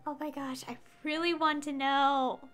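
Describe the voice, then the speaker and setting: A woman streaming a game, chatting casually in a giggly, rambling, excitable way. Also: high-pitched, normal speed, soft background music. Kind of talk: casual live stream commentary.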